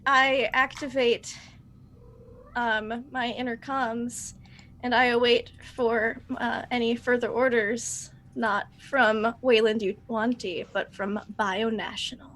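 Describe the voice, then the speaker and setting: A woman's voice: on the high side, fairly even intonation, takes it slowly, fairly bright tone, slight background noise. A woman chatting casually and playfully as a player in a tabletop roleplaying game.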